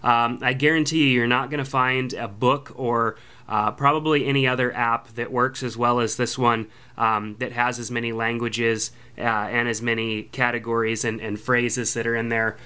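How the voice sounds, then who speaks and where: A man hosts a casual app review podcast in a laid-back, friendly way. His voice is bright and smooth, and he fills pauses with ums and uhs. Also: mid-range voice. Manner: relaxed, filler-heavy, friendly. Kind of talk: casual product review voice-over.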